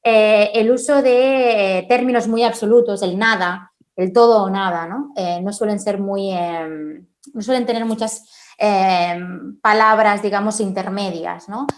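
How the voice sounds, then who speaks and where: A woman forensic linguist from Spain, answering questions at a conference in an explanatory way, often tagging her sentences and filling pauses. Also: mid-range voice, steady tone. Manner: explanatory, frequent "¿no?" tags and "eh" fillers.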